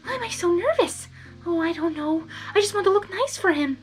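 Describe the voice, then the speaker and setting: A woman voicing a shy, gentle young female pegasus pony who talks in a soft, fretful, earnest way, in a storybook reading. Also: very high-pitched, animated, a little fast, faint music behind, voice pushed up. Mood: anxious, fretful.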